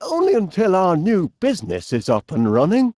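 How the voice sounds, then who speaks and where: An actor playing a cheery, absent-minded middle-aged inventor with a Northern English accent, bumbling and chatty in an animated comedy game. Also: male voice, high voice, moderate pace, no echo, studio quality, exaggerated voice. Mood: sheepish. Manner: bumbling, chatty, hesitant, mild exclamations.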